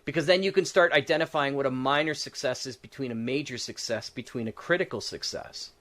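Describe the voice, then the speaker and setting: A man in casual podcast conversation, with a middling pitch. He rambles and thinks aloud, working through game design as he talks. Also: a little fast, a bit bright. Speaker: podcast co-host.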